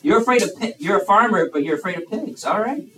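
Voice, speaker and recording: A man's voice: high-pitched, animated, fast talker, a crowd in the background. A man in his thirties preaching to young people in a folksy, chatty way, full of fillers and restarts. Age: 30s. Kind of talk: youth sermon with audience questions. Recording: echoey room, mic far off, faint hiss.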